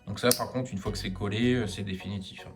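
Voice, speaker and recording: A man's voice: on the low side, steady tone, quick pace. A man giving casual, unscripted advice in a hands-on tutorial, hesitating and repeating words as he explains. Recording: music playing behind the voice.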